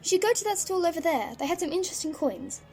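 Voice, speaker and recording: Curious young granddaughter, played by a woman: high voice, earnest, polite but outspoken, audio drama over soft music. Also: sing-song, quick pace, exaggerated voice. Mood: earnest, puzzled. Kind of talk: fan audio drama acting. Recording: music underneath.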